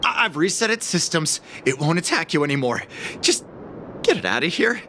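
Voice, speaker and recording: A man's voice: on the high side, very animated, normal speed, pushed and bright, a little hiss or hum. An actor voicing a shifty, cowardly male petty thief in a game, stammering and nervous. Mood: pleading, panicked. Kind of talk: dramatic game voice acting.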